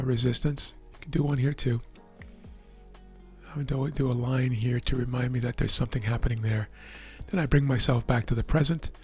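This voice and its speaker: A man with a hoarse, worn voice calmly explaining the markets as a trading educator, often checking in with the listener. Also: medium pitch. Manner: relaxed, explanatory, checks in with "right?". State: hoarse, losing his voice. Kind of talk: live market commentary over a chart screen.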